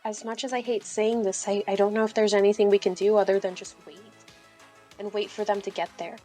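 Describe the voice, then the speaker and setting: A woman's voice: mid-range voice, level tone, brisk, dark tone, faint music behind. A woman playing a tabletop game, talking through it in casual, informal, sweary chat.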